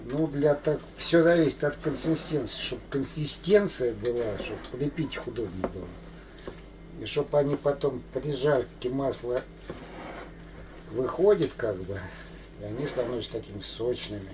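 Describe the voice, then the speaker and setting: A man's voice: mid-range voice, moderate pace, background noise. A middle-aged man giving dry, laconic answers while cooking in a small home kitchen, heard on a camera across the room. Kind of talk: casual chat while cooking.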